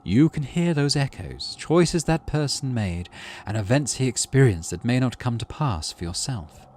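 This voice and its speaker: A man voicing a calm, teasing, cryptic alien forest guide in a visual novel game, with a wry, deliberate manner. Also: mid-range voice, slight background noise, voice pushed up, close to an everyday voice. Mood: musing.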